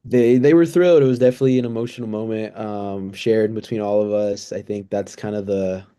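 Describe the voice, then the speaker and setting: A man in his twenties giving earnest, thoughtful, somewhat halting answers in a casual interview. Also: on the low side, fairly even intonation, warm tone, clear, resonant tone. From United States, born in El Salvador. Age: 20s. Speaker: interview guest, pre-physical therapy student.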